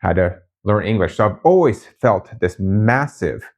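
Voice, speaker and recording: Low-voiced man telling his story candidly. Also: animated, a little mellow. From raised in Japan by Brazilian parents. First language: Japanese. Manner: reflective, candid, with frequent restarts and pauses. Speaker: channel host. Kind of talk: personal storytelling to camera.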